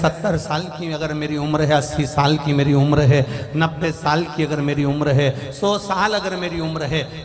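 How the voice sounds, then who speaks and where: Indian preacher in a reverberant hall. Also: male voice, a little high. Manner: rises and falls, urges the crowd to answer back. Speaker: preacher telling a Shiva scripture story. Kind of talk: devotional sermon to a large crowd. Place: large venue with a crowd and speakers. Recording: live sermon in a long reverberant hall with music behind.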